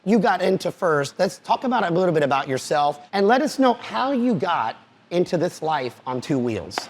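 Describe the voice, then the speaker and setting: Higher-voiced man hosting a casual chat. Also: sing-song, moderate pace, slight background noise. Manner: enthusiastic, repeats himself while asking questions. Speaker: podcast host. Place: cigar club lounge.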